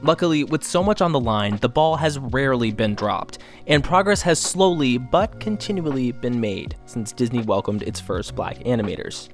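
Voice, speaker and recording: A man reading scripted documentary narration in a measured, formal, informative manner. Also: mid-range voice, lively intonation. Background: faint music.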